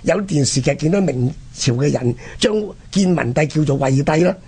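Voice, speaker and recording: A man with a Hong Kong Cantonese accent and a husky, gravelly voice, telling history conversationally and dramatically as a radio presenter. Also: fairly high pitch, moderate pace, darkish tone. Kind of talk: animated conversational history storytelling.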